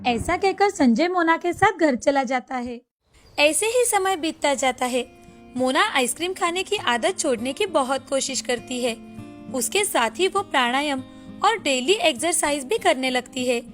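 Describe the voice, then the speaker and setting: A woman's voice: sits high, animated, measured pace, fairly bright tone, soft background music. A woman reading scripted narration for a moral story.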